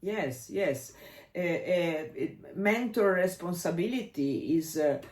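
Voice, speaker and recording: A woman's voice: fairly low pitch, animated, a little mellow. Italian lady coach chatting in a roomy echoey space, hesitant english, feeling for words. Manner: halting, hesitant, searching for words. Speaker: dance coach and podcast co-host. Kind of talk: casual discussion, explaining. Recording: echoey room.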